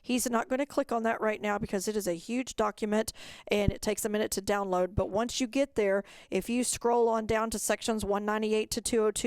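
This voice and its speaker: A woman's voice: fairly high pitch, clear, resonant tone, dry recording. A woman presenting in an online webinar, explaining things politely and instructively as a director. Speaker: director of fine arts, presenter.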